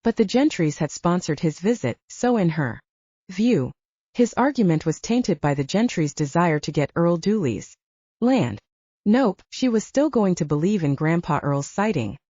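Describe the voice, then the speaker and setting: A woman narrates an audiobook with a low, mellow voice and lively, varied intonation. She reads scripted fiction, and the sound is close and dry. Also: moderate pace, breathy edge, dry recording, lo-fi sound.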